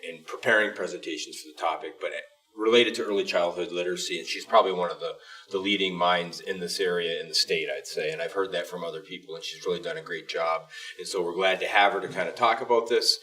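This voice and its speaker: A man's voice: low voice, fairly even intonation, quick pace, bright, forceful tone, recorded from a distance. A man talking casually and conversationally as co-host of an interview show. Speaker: podcast co-host, school superintendent.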